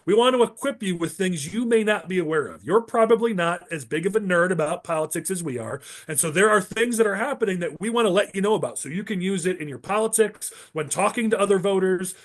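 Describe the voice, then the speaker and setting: A man hosting a political panel podcast, moving briskly through agenda items in a partisan tone while losing his voice. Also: high-pitched. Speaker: podcast host.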